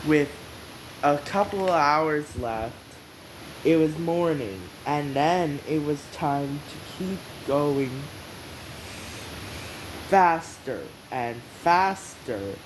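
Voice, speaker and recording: A person reading a story aloud as narrator, in halting, drawn-out phrases with pauses, on a hissy recording. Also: mid-range voice, expressive. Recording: hissy, noisy home recording.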